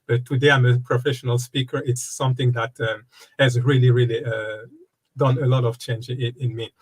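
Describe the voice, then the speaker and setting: Man, 50s, from Congo, interview guest, wellness coach, hesitant with fillers, mid pitch. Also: little pitch movement, breathy edge. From Democratic Republic of Congo. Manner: halting, many fillers and restarts. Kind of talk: casual interview conversation.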